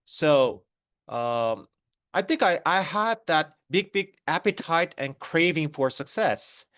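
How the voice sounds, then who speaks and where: A man's voice: on the high side, animated, slow pace, a bit bright, dry recording, studio quality. A man in his thirties with a Korean accent, giving an online business presentation with many fillers and restarts. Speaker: network marketing presenter.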